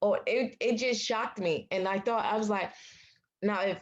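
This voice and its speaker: A young woman from the United States, a patient advocate, telling her story in a casual interview, outspoken and animated. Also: medium pitch, moderate pace, highs cut off. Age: young adult. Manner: animated, acts out quoted dialogue; loud, outspoken.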